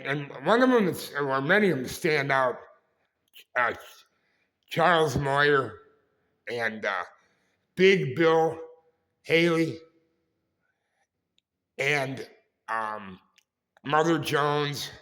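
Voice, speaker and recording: Raspy-voiced man, slow and rambling. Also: mid-range voice, fairly bright tone. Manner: rambling, halting, self-correcting. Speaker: vlog host. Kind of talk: casual walking-tour vlog. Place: indoors in a historic building.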